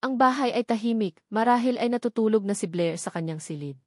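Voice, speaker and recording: A woman's voice: on the high side, expressive, fairly quick, no room sound, clean studio sound. A woman with a Filipino accent reading a scripted romantic drama story in an emotive, dramatic storytelling manner, with short emphatic phrases. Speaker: story narrator.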